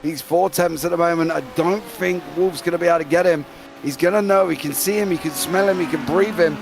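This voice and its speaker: A man with a British accent calling a live sim racing broadcast, excitable play-by-play that rattles off driver names. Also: a little high, fairly quick, creaky, a little hiss or hum. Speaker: race commentator.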